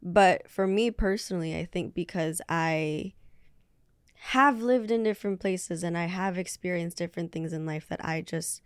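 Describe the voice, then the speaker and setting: A young woman from the Philippines talks casually as an interview guest, pausing often and filling gaps with ums and likes. Her voice sits fairly low for a woman. The sound is close and dry, with no room around it. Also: clear, resonant tone, no room sound. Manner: hesitant, searching for words with many fillers. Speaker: guest singer.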